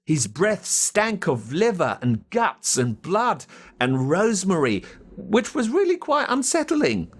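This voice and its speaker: An Australian man narrating a children's story in a dramatic, expressive way. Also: sits high, slow, husky. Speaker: audiobook narrator.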